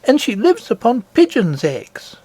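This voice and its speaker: Man putting on an eager, high-pitched little princess girl. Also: huge pitch swings, a little slow, dark tone, slightly rough, no room sound, heavily acted. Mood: eager, earnest. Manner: eager, insistent, childlike. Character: earnest, indignant little princess girl of about eight.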